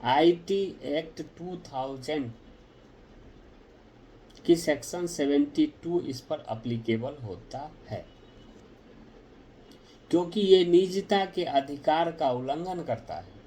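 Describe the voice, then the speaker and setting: A man explaining a legal ruling to viewers in a direct, deliberate, explanatory way. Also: fairly high pitch, mellow, a little hiss or hum, rough recording.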